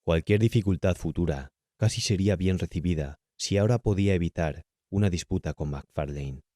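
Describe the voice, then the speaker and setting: A man's voice: sits low, brisk, mellow, dry recording, studio quality. A man with a Castilian Spanish accent reading a horror audiobook as a dramatic narrator.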